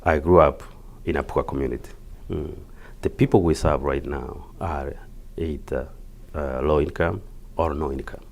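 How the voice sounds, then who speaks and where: Very deep-voiced Kenyan man. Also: fairly even intonation, gravelly. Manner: halting, repeats words. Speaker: interview guest, community health project worker. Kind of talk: interview answers, explaining.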